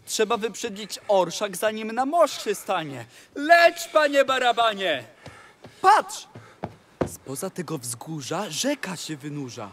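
High-voiced, theatrical bossy tomcat. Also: male voice, sing-song, slow, fairly bright tone, a little hiss or hum, exaggerated voice. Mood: urgent, commanding. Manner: urgent, declamatory. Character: bossy, scheming, theatrical talking tomcat in boots. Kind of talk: rhymed musical fairy-tale audio play.

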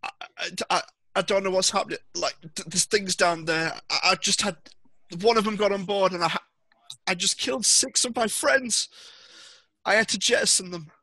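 A man's voice: sits high, sharp tone, dry recording, voice pushed up, full character voice, rough recording. A man voicing a panicked, tearful female pilot who stammers and halts as she speaks. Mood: panicked, shaken. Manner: stammering, halting.

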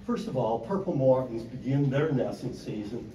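An older man from Wisconsin giving a convention talk on birds in a loud, plain-spoken way, with many "uh" fillers, into a handheld mic held away from his mouth. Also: medium pitch, animated, normal speed, distant mic, a little hiss or hum. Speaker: conservation speaker. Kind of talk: convention presentation. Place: convention hall. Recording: echoey hall, mic from afar.

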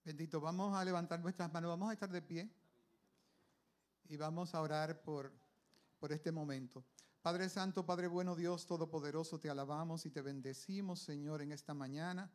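A man's voice: on the high side, fairly even intonation, normal speed. Man leading a fervent church prayer. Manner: fervent prayer, repeated invocations of the Lord. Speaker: pastor. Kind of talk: opening prayer. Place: church stage, large hall.

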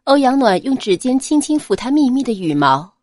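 Woman, audiobook narrator, expressive scripted delivery, animated intonation, dry close sound. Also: high-pitched, moderate pace, dry recording.

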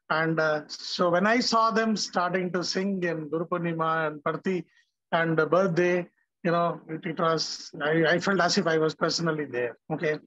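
High-voiced Indian-accented man, dull call audio. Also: a bit bright. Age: middle-aged. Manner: halting, peppered with "okay". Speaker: guest speaker introducing musicians. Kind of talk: informal devotional tribute. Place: living room at home, on a video call. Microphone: device mic on video call.

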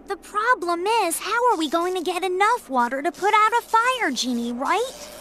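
A woman's voice: very high voice, animated, moderate pace, sharp tone, slight background noise, full character voice. A woman voicing a small, plucky, earnest young boy fox in a video game. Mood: exasperated. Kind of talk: video game cutscene acting.

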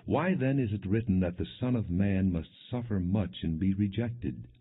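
A man reading scripture as an audiobook narrator, in a solemn, measured, scripted storytelling style. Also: deep voice, lively intonation, husky. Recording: muffled, narrow phone-like audio.

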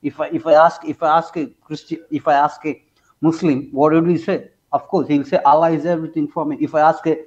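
A twenty-two-year-old man from India with an Indian accent, debating casually, haltingly repeating his phrases for emphasis. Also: fairly high pitch, level tone, a little mellow. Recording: dull lo-fi online call audio.

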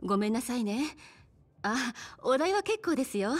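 A woman voicing a composed, mysterious bar madam in a Japanese video game, speaking politely and softly feminine in phrasing. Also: fairly high pitch, very animated, normal speed. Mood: gracious.

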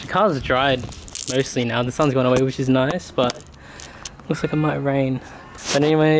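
A man's voice: mid-range voice, slight background noise. Young man casually explaining car washing. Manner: relaxed, explanatory, frequent ums. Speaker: car detailing vlogger. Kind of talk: casual how-to narration. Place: outdoors in a home driveway. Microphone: camera mic, later a GoPro.

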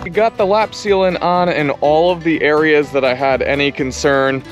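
A man's voice: fairly high pitch, normal speed, highs cut off, music in the background. A man in his thirties, a vlogger, talking casually to the camera he holds at arm's length while working outdoors on a camper roof. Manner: relaxed, practical, talks straight to camera. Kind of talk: casual explaining how-to vlog. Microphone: camera held at arm's length.

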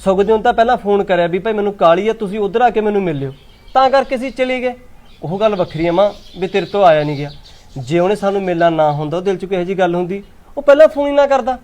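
A young man acting as a son in a family drama, calmly explaining things at length to his mother. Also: high voice, fast talker. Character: patient adult son calming his mother.

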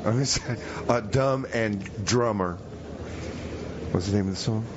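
A man's voice: on the low side, lightly acted. A man playing an easygoing, hapless radio DJ broadcasting from jail, hesitant and rambling, in comedy radio theater. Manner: hesitant, rambling, slacker drawn-out talk. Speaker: radio DJ host. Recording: dull lo-fi radio sound, noisy background.

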